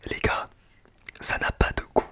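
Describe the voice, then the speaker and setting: A man speaking in a breathy, unvoiced whisper, chatting casually and in slang in an ASMR tasting style. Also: measured pace, a bit bright. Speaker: ASMR food-tasting video creator.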